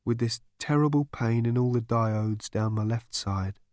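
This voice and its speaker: A man's voice: mid-range voice, animated, moderate pace, a little mellow, no echo, studio quality, pitched above the performer's own voice, lightly acted. A man voicing a gloomy, put-upon menial robot with a dismal, bitter way of talking, in an audiobook. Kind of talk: audiobook character voice.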